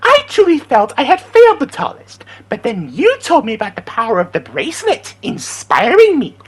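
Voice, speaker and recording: A man's voice: extremely high pitch, wildly swooping pitch, moderate pace, breathy edge, pitched above the performer's own voice, heavily acted, low-quality recording. A man voicing a manic, pompous little alien invader from a cartoon, theatrical and screechy. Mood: gloating, manic.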